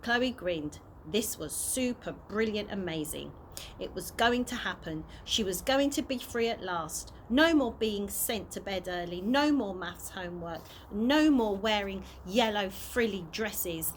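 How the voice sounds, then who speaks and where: A woman reading a children's chapter book aloud as an expressive storyteller. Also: mid-range voice, a little slow. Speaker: early years practitioner reading a story. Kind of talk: children's audiobook read aloud. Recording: noisy amateur home recording.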